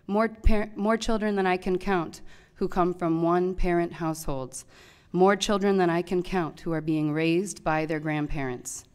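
A woman's voice: lowish voice, smooth. A woman in her thirties, a teacher reading a prepared public comment at a podium microphone in a large meeting hall, earnest and pleading. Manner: earnest, pleading, rising to loud emphasis.

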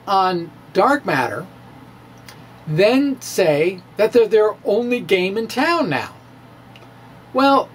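A middle-aged man delivers a science lecture like a dramatic reading. His pitch jumps around in a lively, emphatic way, and he moves slowly with halting pauses. There is faint noise behind his voice. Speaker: science video blogger. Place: indoor room with bookshelves. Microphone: handheld-style microphone on a stand, close in front.

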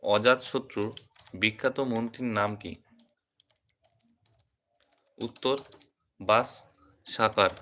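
A man's voice: lowish voice, slow pace, long pauses, sharp tone. A man teaching a quiz lesson, calling out questions and answers in short, separate phrases. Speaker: quiz teacher at a coaching centre.

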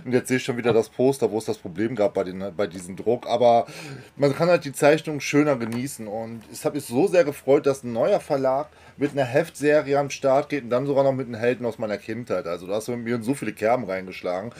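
A man's voice: mid-range voice, fast talker, a little mellow. A man hosting a casual fan interview as the interviewer, talking in an enthusiastic, effusive way. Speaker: interviewer and channel host.

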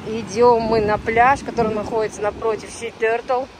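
Woman vlogging through loud wind noise. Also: a little high, flat delivery, normal speed. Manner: admiring, exclamatory. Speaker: travel vlogger. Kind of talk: casual travel vlog commentary. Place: outdoors, on a windy ocean beach.

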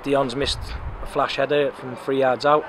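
A man in his thirties, a football club manager, giving a frank, animated post-match interview outdoors beside the pitch, with many "um"s and "you know"s. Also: normal speed, crowd noise. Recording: loud outdoor noise with passing traffic.

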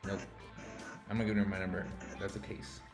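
A man's voice: low-pitched, little pitch movement, moderate pace, echoey room, music in the background. A man in his twenties chatting playfully and flirting on a webcam in a small room, laughing often. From United States. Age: 20s. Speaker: vlogger chatting with strangers. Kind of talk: casual chat.

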